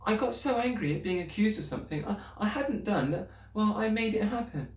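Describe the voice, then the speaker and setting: A man reading aloud and voicing a small, bright, earnest girl who asks eager, pleading questions. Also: high voice, animated, brisk, pitched above the performer's own voice. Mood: excited. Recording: roomy, distant mic.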